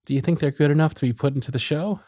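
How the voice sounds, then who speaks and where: A smitten circus magician played by a man for an ASMR romance roleplay, with a husky, breathy voice in a close, dry recording. He is gentle and charming, tender and flattering, with a private nervousness underneath his soft-spoken delivery. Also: fairly high pitch, racing, dry recording, lightly acted. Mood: warm, charming. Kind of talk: ASMR romance roleplay with intimate inner monologue.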